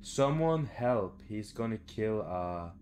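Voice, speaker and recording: A young man with a low voice gives casual let's play commentary. He talks slowly in short muttered phrases, with lively swings in pitch. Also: soft background music. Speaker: gamer, let's play host. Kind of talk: casual horror game commentary. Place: small room at home.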